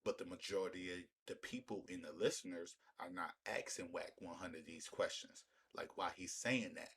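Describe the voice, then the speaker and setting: A man's voice: low voice, steady tone, moderate pace, a bit bright, husky. A man giving opinionated street-talk commentary in an emphatic, repetitive way, often using rhetorical questions. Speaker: commentator hosting a reaction video.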